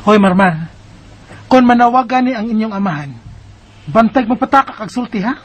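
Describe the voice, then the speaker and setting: A woman's voice: on the high side, lively intonation, normal speed, soft background music, natural voice. A woman playing a secretive mother in a radio drama who warns and orders her children about. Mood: bossy warning. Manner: hushed, warning and bossy. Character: secretive, sharp-tongued young mother.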